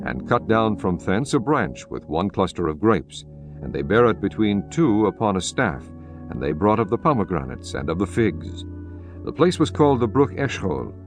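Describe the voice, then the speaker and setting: Male narrator for scripture: low-pitched, solemn, measured delivery over a soft music bed.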